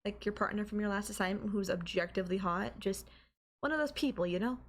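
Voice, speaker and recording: Casting: woman as young student girlfriend, mid-pitched, coy, teasing and affectionate, for intimate anime-style audio roleplay. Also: fast, close to an everyday voice. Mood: sweet, casual.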